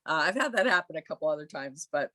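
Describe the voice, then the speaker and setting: Woman from croatia, low voice, dry close sound, chatty interview guest. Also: animated, fast talker, no echo. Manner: warm, chatty storytelling with laughs. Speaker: guest musician. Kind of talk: casual interview.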